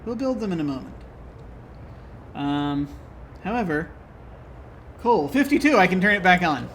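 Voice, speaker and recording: A man casually thinking aloud while playing a strategy game, halting and chuckling to himself. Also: high-pitched, sing-song, fairly bright tone, slight background noise. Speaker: gameplay commentator. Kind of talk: casual let's-play commentary.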